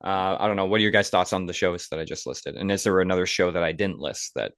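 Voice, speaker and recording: A man's voice: deep voice, fast talker, fairly bright tone, no echo, clean studio sound. A man hosting a casual film podcast, talking in a conversational, opinionated way. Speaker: podcast host.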